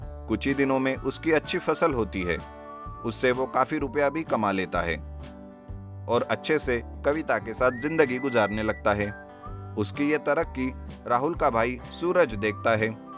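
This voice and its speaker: A man's voice: medium pitch, animated, a little slow. A man reading a scripted children's story as a narrator. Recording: music bed under the voice. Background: plucked guitar music.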